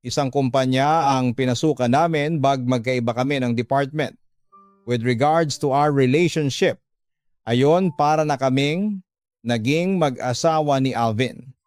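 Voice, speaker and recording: A man's voice: medium pitch, measured pace, a little mellow, no echo, clean studio sound. A man with a Filipino accent telling a first-person story as the narrator of a radio drama.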